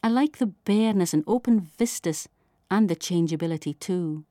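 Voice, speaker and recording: Mellow, low Shetland woman reading. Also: moderate pace, no room sound, studio quality. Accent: Shetland Scottish. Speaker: poet and letter writer. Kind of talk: literary reading of poems and letters.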